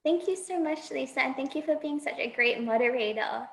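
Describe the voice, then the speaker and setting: Brief: a chatty, cheerful woman with a higher voice on a roomy video call. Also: fairly quick, vocal fry. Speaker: student services staff member. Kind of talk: casual online presentation wrap-up.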